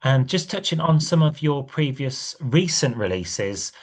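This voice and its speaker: A man's voice: on the high side, a little slow. A man hosting a podcast interview, asking loose questions with plenty of fillers. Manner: relaxed questioning with many fillers. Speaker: podcast host.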